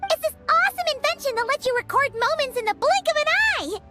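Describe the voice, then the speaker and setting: A tiny childlike fairy sidekick from a video game. The voice is extremely high and swoops around with bubbly, eager excitement, with prominent music behind it. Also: female voice, quick pace. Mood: excited. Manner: speaks of self in third person, bubbly.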